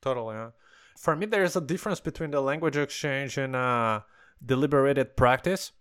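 A man's voice: medium pitch, a little fast, a bit bright, smooth. A man hosting a casual interview in non-native English, explaining his points and often restarting words. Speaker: podcast host.

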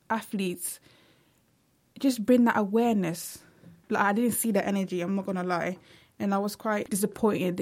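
A woman's voice: mid-range voice, darkish tone. A young woman speaking earnestly in a casual vlog monologue, with frequent fillers like "like" and "you know". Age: young adult. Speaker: vlogger.

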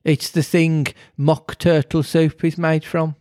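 A man plays a shrill storybook Queen with a Birmingham accent. She is bossy, tyrannical and threatening, pitched well up and barking out her orders. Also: flat delivery, slow pace, a little mellow, no echo, studio quality. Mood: furious, imperious.

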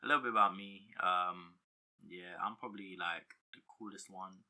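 A man's voice: low-pitched, normal speed, pushed and bright. A young man, joking around in a casual podcast chat with friends. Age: young adult. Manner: joking, puts on silly accents. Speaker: podcast co-host.

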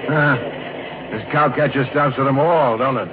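A man's voice: mid-range voice, moderate pace, phone-quality sound, lightly acted. An actor playing a kindly middle-aged traveling salesman, folksy and rambling, in a 1940s radio drama. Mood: chatty. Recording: thin, noisy old radio recording.

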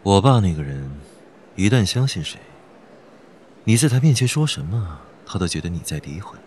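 A man acting a cynical, guarded heir in his twenties in an audio drama, cool, sardonic and deliberate. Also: sits low, very animated, darkish tone, a little hiss or hum. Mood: cool, bitter. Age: 20s. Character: cynical, guarded young heir, coolly scheming. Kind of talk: Chinese audio drama acting with inner monologue.

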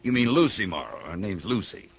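A desperate small-town banker pleads his case in a radio western. He sounds American, anxious and emphatic, with a somewhat low voice on a dull vintage recording. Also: sing-song, fairly quick, creaky, breathy edge, full character voice. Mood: worried, explaining. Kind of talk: 1950s radio western acting. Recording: muffled 1960 radio broadcast.

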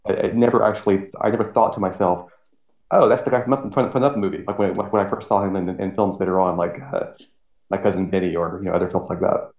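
A man in his late forties chatting as a podcast guest in a halting way, often repeating words. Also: deep voice, fairly even intonation. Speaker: podcast guest, freelance writer. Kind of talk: casual interview chat. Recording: dull, echoey remote-sounding recording.

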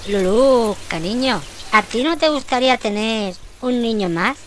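A woman's voice: fairly high pitch, animated, unhurried, a bit bright. A naive, good-natured family man from a Spanish comic radio play, acted by a woman. The accent is from Spain and the delivery is exclamatory, full of comic emphasis. There is faint noise behind the voice. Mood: grateful, coaxing.

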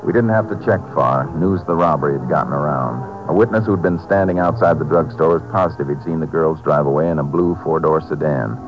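Deep-voiced, laconic Texas lawman. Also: fairly quick, few pauses, music in the background. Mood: matter-of-fact. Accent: American. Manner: terse, matter-of-fact. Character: steady, laconic male Texas Ranger investigator. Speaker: lawman lead and narrator of radio drama. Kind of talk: 1950s radio acting, scripted narration. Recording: muffled 1950s radio recording.

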